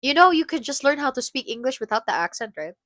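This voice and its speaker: A woman's voice: high-pitched, very animated, fast, fairly bright tone, no room sound, clean studio sound. A woman from the Philippines chatting casually on a live stream, rambling and cutting herself off, laughing often.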